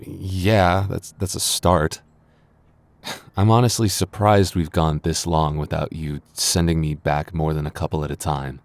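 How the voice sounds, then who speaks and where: A man's voice: deep voice, steady tone, measured pace, creaky, dry recording. A man playing a flustered young man with a secret crush in an intimate boyfriend ASMR roleplay, stammering and getting defensive. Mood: teasing, shy. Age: young adult.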